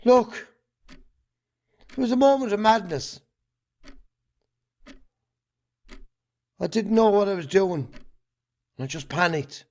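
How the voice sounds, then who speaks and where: Defensive Irish farming man, higher-pitched voice acted. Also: sing-song, a little mellow, full character voice. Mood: agitated, defensive. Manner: defensive, halting. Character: defensive, guilt-ridden Irish farming man confessing under questioning. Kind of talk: amateur recorded stage drama acting.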